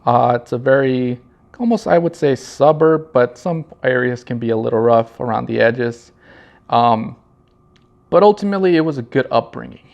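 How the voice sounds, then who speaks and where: A man from Los Angeles County talks casually and reflectively about himself, halting often and repeating his phrases. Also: medium pitch, vocal fry, a little mellow. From Los Angeles County, California. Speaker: vlog host and marketing director. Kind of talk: casual personal monologue, storytelling. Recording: slightly dull, lo-fi sound.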